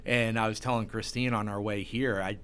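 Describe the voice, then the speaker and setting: A man's voice: fairly low pitch, fairly even intonation, brisk, fairly bright tone, slight background noise. A man hosting a conversational interview in an encouraging, affirming way. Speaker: talk show host, real estate agent.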